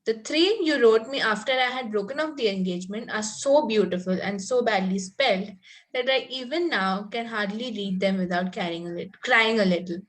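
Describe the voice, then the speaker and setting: A woman's voice: mid-range voice, moderate pace, close to an everyday voice. A woman reading the part of a fanciful, coy Victorian young lady in an amateur table read, prim and earnest, with occasional stumbles. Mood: coy, sentimental.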